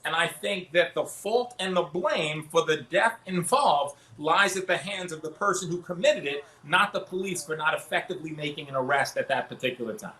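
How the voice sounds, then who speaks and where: Guy lawyer doing tv legal commentary, punchy bright voice, answers his own questions, roomy echo. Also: a little high, expressive, normal speed, few pauses. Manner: rhetorical questions answered by himself. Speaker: defense attorney, TV panelist. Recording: roomy, echoing room sound.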